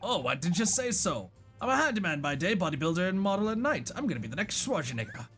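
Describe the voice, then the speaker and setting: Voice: medium pitch, big pitch swings, fast, fairly bright tone, soft background music. A performer voicing a dim, cheerful, boastful male handyman and bodybuilder in a video game, eager and muddled.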